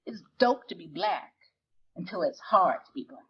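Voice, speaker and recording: A woman performing a self-conscious, wry sixteen-year-old girl narrating her life in a slangy, expressive dramatic reading of a novel. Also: on the low side, normal speed, lo-fi sound, lightly acted. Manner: slangy, expressive, conversational storytelling.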